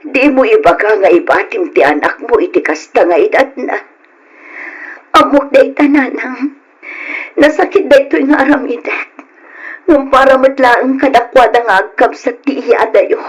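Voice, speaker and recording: A woman acting in an old Ilocano radio drama as a devoted young mother, tender, pleading and emotional, with a husky voice. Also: a little high, normal speed, low-quality recording. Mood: pleading, resolute. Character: devoted young mother leaving her children to work abroad.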